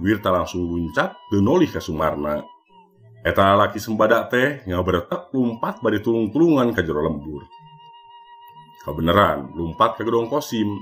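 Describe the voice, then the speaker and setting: A man with a Sundanese accent, narrating a scripted mystery folktale as a storyteller. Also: low-pitched. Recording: music bed behind the voice. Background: background music.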